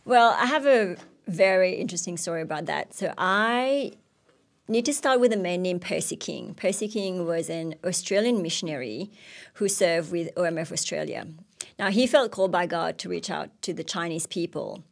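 A woman's voice: on the low side, moderate pace, gravelly. Woman in her thirties from Mauritius, interviewed. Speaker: missionary interviewee. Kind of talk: personal testimony in an onstage interview. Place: indoor stage with curtain backdrop. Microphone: handheld microphone.

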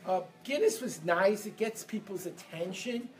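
A man from Brooklyn, giving a lively motivational seminar talk and getting the audience to answer back. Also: high-pitched, measured pace. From Brooklyn, New York. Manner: energetic, leads audience call-and-response. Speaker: seminar speaker. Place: event room with a live audience.

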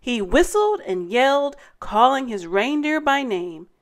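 A woman hosting story time, reading a rhyming children's picture book aloud in a dramatic, deliberate way. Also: high-pitched, expressive. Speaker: story time host and narrator.

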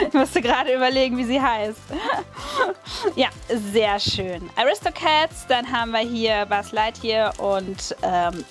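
A woman with a German accent chatting casually while unpacking and crafting at a table, thinking aloud with hums and small laughs. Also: a little high. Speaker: crafting video host. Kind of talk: casual unboxing and crafting commentary. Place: indoors at a wooden table. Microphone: overhead camera, hands only.

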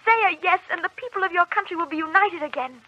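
An actress playing a devout, fearless young warrior maid in an old radio drama, speaking in an earnest, declamatory way. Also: female voice, very high voice, quick pace, bright, forceful tone, dry recording. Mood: earnest, resolute. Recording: dull old radio recording.

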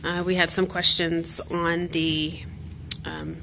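A woman's voice: fairly low pitch, level tone, slow, bright, forceful tone, a little hiss or hum. A woman showing a home project on her phone camera in an open garage, talking casually with many ums and restarts. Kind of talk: casual home video walkthrough. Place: open garage and driveway, outdoors. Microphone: phone camera held by the speaker.

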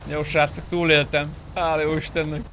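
A man's voice: on the high side, little pitch movement, fairly bright tone, a little hiss or hum. A man chatting casually with other players while streaming an online roleplay game.

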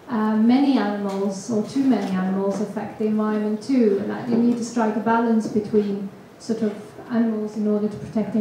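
A woman's voice: fairly high pitch, warm tone, recorded from a distance, a little hiss or hum. Woman summarizing in a reverberant room. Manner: hesitant, many fillers. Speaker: interpreter summarizing a speaker. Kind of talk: summarizing a talk. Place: large room with seated audience. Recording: echoey room recorded from afar.

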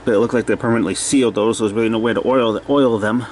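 A man's voice: lowish voice, fairly even intonation, quick pace, a little mellow, slight background noise. A man giving casual, off-the-cuff repair commentary in a plain-spoken, thinking-aloud way, recorded on a handheld camera in a cluttered workshop. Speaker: hobbyist repairer narrating own video.

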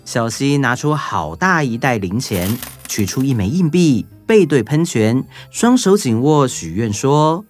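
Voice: mid-range voice, big pitch swings, unhurried, hardly any pauses, a little mellow, faint music behind. A narrator reading a scripted children's story.

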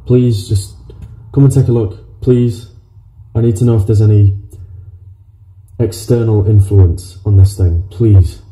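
An actor playing an uneasy technician on a phone call in a horror film, speaking in a halting, naturalistic way. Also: male voice, lowish voice, steady tone, dark tone, lightly acted. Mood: agitated, pleading. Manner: hesitant, halting, casual swearing. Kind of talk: naturalistic found-footage horror acting. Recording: muffled, roomy, distant, faint noise.

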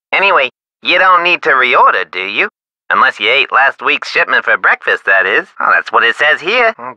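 An actor playing a breezy, glib, goofy supply clerk on the phone in a video game, chipper in an exaggerated way. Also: male voice, mid-range voice, pushed and bright, no echo. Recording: dull, band-limited phone call sound.

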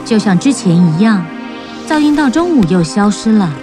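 A woman's voice: medium pitch, big pitch swings, normal speed, music in the background. A woman storyteller giving scripted narration for a children's tale.

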